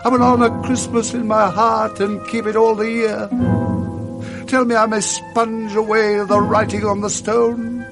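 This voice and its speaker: A man's voice: sits high, little pitch movement, measured pace, over music. A man playing an old British miser full of intense, repentant feeling, in a theatrical radio drama. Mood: desperate pleading. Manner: impassioned, theatrical.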